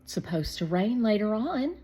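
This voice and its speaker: A woman voicing a cheerful, encouraging mother in a children's storybook, gently coaxing. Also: medium pitch, big pitch swings, measured pace, husky, voice pushed up.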